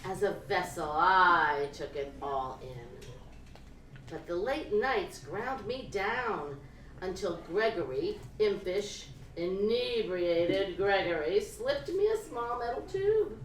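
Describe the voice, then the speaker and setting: A woman gives an expressive literary reading of first-person prose at a live event, phrasing it deliberately and dramatically. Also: medium pitch. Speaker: reader of fiction at a live event. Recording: roomy, distant live-event recording with noise.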